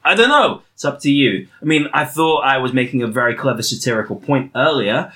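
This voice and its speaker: A playful male host who jokes and laughs his way through a comedy panel. His pitch is lively and varied, and his tone is bright and clear. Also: fairly quick. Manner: playful, joking, laughs often.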